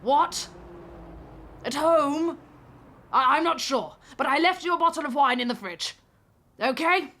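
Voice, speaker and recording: Woman playing a clipped, high-pitched, imposing British businessman. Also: expressive, measured pace, pauses often, pushed and bright, exaggerated voice. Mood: clipped, defensive. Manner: clipped, punchy, staccato. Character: tall, imposing, brusque businesslike man in his late forties. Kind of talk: audio drama phone-call dialogue.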